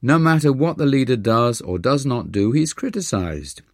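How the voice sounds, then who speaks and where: A man's voice: medium pitch, very animated, normal speed, dry recording, studio quality, voice pushed up. A man voicing an earnest, devout young disciple in an audiobook, speaking with fervent respect. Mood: protesting.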